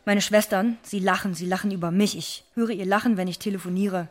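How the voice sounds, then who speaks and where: A woman's voice: medium pitch, fairly even intonation, fairly quick, lightly acted. An actress playing a bitter, frightened young migrant cleaning woman in a radio drama monologue, in terse, insistent, repeating sentences. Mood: resentful.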